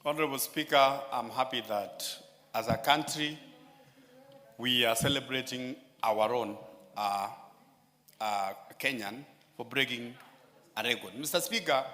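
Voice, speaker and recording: A man addressing parliament in a floor speech, often turning to the Speaker and pausing on fillers. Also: medium pitch, sharp tone. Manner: addresses the Speaker repeatedly, with many filler pauses. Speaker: member of parliament. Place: large parliamentary chamber. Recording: chamber echo with long reverb.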